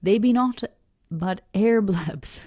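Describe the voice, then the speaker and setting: Woman doing a gruff old fisherman. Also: mid-range voice, warm tone, no echo, clean studio sound. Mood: scornful. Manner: slow, dragged-out dialect speech. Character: old male fisherman, gruff, scornful, preachy.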